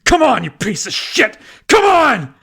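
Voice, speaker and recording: A man voicing a desperate male agent who shouts and sobs in grief. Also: very high voice, huge pitch swings, a little slow, gravelly, breathy edge, pitched above the performer's own voice, full character voice. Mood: anguished sobbing. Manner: frantic shouting, breaking into sobs.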